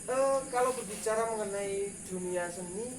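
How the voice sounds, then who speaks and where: A man from Central Java with a Banyumasan Javanese accent, answering interview questions in short, measured phrases. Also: high-pitched. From Central Java, raised in East Kalimantan. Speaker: guest, puppeteer and teacher. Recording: roomy, distant, noisy with crickets.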